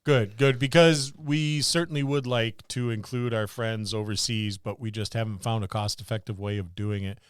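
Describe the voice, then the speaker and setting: A man's voice: fairly low pitch, fairly even intonation, normal speed, few pauses, smooth, no echo. A man co-hosting a casual podcast about tabletop gaming, talking in a rambling, enthusiastic way. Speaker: podcast co-host.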